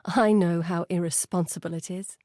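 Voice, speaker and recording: An actress playing an earnest, burdened woman explorer in a fantasy video game, speaking in a measured, articulate way. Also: on the low side, fairly even intonation, warm tone, no room sound, clean studio sound, natural voice. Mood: wry, confiding. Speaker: video game character voice actor. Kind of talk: dramatic fantasy game dialogue.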